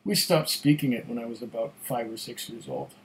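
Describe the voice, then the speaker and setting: A middle-aged man whose first language was German, giving casual whisky tasting notes at home, musing and pausing between sips, recorded on a webcam at desk distance. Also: mid-range voice. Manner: slow, musing, pauses to sniff and sip. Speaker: whisky reviewer. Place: home room with shelves of bottles.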